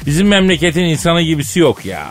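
A man with a fairly high, very animated voice chats as a radio co-host. His delivery is colloquial and teasing, sliding into mock indignation, with soft music playing behind him. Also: fast. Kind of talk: casual comic banter.

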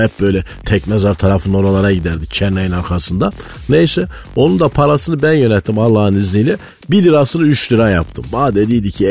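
A man's voice: fairly low pitch, a little mellow, breathy edge. A man playing a pious, conspiracy-minded provincial economy guru in radio comedy, earnest and rambling, with a Malatya accent from eastern Anatolia. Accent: Malatya, eastern Anatolian Turkish. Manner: folksy, earnest, rambling anecdotes. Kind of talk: comic radio character monologue. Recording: studio voice over background music.

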